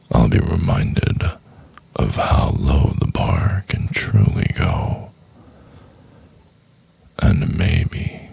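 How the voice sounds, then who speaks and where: Man performing domineering CEO, ASMR roleplay, condescending, slow delivery, heavy gravel, intimate half-whisper. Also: a bass voice, a little mellow, exaggerated voice. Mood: cold, contemptuous. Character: domineering male CEO boss, condescending, possessive, seductive.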